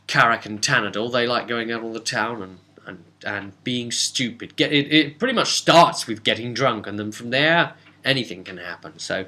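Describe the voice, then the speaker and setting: Bright, forceful British man, chatting. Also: medium pitch. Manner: chatty, wry, self-interrupting. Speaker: tabletop role-play game master and host. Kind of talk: casual rambling recap of a role-play session.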